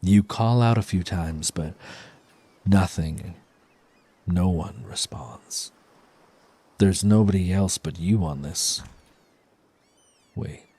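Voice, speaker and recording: A man tells an immersive second-person story in ASMR style. His voice is very deep and unhurried, with a rough, breathy huskiness that often falls to a near whisper. The sound is dry and intimate, right up on the microphone. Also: warm tone, no echo. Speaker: audio storyteller, ASMR performer. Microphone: close dry microphone.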